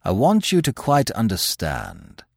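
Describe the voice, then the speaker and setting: A man voicing a grave, quiet leader. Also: wildly swooping pitch, creaky, husky, dry recording, studio quality, full character voice. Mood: calm, grave. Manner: quiet, measured, low delivery. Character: grave, composed man leading a secret group.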